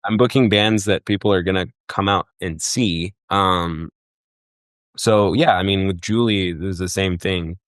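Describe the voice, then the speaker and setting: A young man from Egypt with a very low voice talks casually on a podcast, circling his thoughts with lots of fillers and restarts. The sound is dry and close, with no room around it. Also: a little slow, a bit bright, dry recording. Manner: rambling, many fillers and restarts. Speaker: guest, festival booker.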